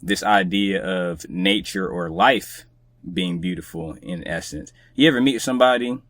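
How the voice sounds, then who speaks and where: A man's voice: low voice, a bit bright, dry recording. A man speaking as a podcast guest in casual, reflective conversation, with a laid-back, colloquial, musing manner.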